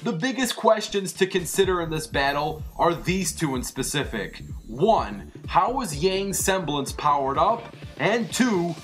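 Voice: mid-range voice, sing-song, unhurried, few pauses, a bit bright. A video host reading scripted commentary and addressing viewers directly. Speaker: host of an animated versus show. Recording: music under the voice. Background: background music.

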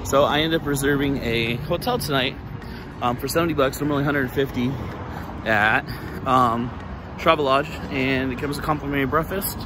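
A man's voice: medium pitch, moderate pace, background noise. Easygoing man vlogging to a handheld camera. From southern California. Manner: relaxed, rambling, lots of fillers. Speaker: travel vlogger. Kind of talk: casual vlog narration. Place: outdoors, hotel room and parked van. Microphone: handheld camera at arm's length.

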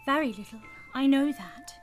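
Woman as high-voiced, sorrowful young sweetheart. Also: animated, faint music behind. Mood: sad, resigned. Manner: earnest, measured, wistful. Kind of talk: radio play acting.

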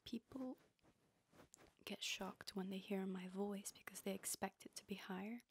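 A young woman reading a story aloud in a slow, soft, breathy ASMR whisper, her voice low. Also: steady tone, a little hiss or hum. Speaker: ASMR host. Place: dark room lit by a candle.

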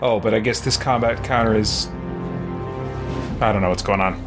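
A man's voice: on the low side, moderate pace, loud music behind the voice. A middle-aged man giving casual gameplay commentary, musing and thinking aloud as he streams. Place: small room with shelves. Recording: faint background noise.

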